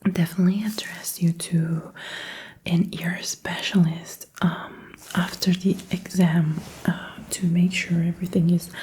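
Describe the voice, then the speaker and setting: Casting: female ASMR doctor, hushed whisper, soft mellow tone, gentle exam instructions. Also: fairly low pitch, poor audio. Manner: gentle, attentive, softly instructing. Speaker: ASMR performer playing a doctor in a medical exam roleplay. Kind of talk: whispered ASMR medical roleplay.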